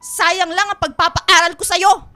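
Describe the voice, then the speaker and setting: A woman's voice: very high voice, fairly even intonation, measured pace, pushed and bright, soft background music, full character voice. A woman playing a proud, scolding older Filipino aunt in a radio drama, nagging with reproachful emphasis. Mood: indignant, reproachful. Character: scolding, proud older aunt who raised her nephew.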